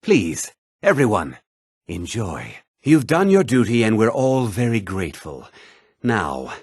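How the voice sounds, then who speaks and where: An actor playing a pompous, self-satisfied emperor in a fantasy game, speaking in a grand, measured manner. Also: male voice, on the low side, wildly swooping pitch, a little mellow, slightly rough, no room sound, clean studio sound, heavily acted. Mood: pompous, pleased.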